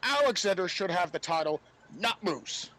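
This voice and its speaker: A man talking casually as a podcast panelist, halting and repeating himself as he goes. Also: high voice, animated, a bit bright, low-quality recording. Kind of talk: casual sports chat.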